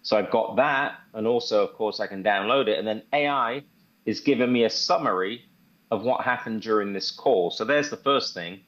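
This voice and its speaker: Guy walking people through software, casual and keen, repeats himself, kinda muffled lo-fi call sound. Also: on the low side, moderate pace. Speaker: presenter demonstrating software. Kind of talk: casual live walkthrough. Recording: dull lo-fi online call audio.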